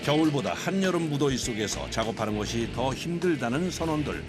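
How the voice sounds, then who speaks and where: A man's voice: medium pitch, sing-song, fairly quick. A man reading scripted documentary narration in Korean, with music playing behind him. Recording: loud music bed behind voice.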